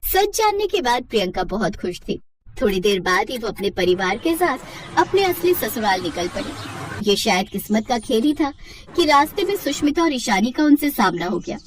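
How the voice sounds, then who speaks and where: A woman's voice: fairly high pitch, sing-song, moderate pace, distant mic, slight background noise. A woman narrating a scripted story in a dramatic storytelling style.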